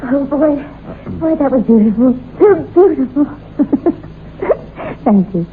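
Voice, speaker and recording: An actress playing a hesitant, evasive young fiancée, earnest and wavering, in an old-time radio drama. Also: female voice, sits high, expressive, slow pace. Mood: dreamy, affectionate. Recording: dull, noisy vintage radio recording.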